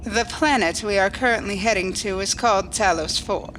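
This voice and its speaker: A woman's voice: medium pitch, moderate pace, fairly bright tone, soft background music, close to an everyday voice, poor audio. A woman playing a coolly logical alien starship officer, formal, precise and unemotional, in a science fiction audio drama. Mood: formal, detached.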